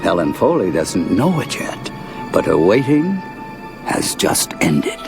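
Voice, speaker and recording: An actor playing a solemn, knowing narrator of an eerie anthology, speaking in a clipped, portentous, dramatic way. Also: male voice, low-pitched, sing-song, slow pace, creaky, music in the background, full character voice. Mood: solemn, ominous.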